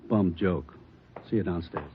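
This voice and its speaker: A man's voice: low-pitched, unhurried. A man playing a practical bomb technician in an old radio drama, dry and businesslike. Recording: muffled narrow-band radio recording with noise.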